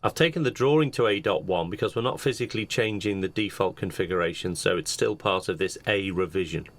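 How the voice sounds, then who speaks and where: A man giving an unscripted software walkthrough, explaining each step methodically as a demonstrator. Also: low-pitched, a little fast, background noise. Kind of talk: screen-share tutorial narration, unscripted walkthrough. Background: computer keyboard typing.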